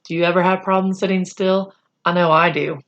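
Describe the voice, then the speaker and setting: A woman reading a children's chapter book aloud in expressive, deliberate storytelling phrases. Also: lowish voice, dull audio.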